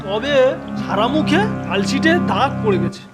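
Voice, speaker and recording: A man's voice: high voice, expressive, normal speed. A man voicing a rustic villager in a cartoon, recounting a ghostly incident step by step. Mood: grave storytelling. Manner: rustic, telling events step by step. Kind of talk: cartoon storytelling. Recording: loud music under the voice.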